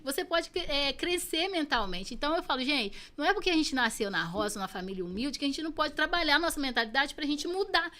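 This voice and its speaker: Brazilian woman in her 30s, bright sharp voice, earnest and reflective. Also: high voice, expressive, fast talker, hardly any pauses. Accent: Brazilian Portuguese. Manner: earnest, reflective, repeats phrases for emphasis. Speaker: podcast guest. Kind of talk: personal storytelling in an interview.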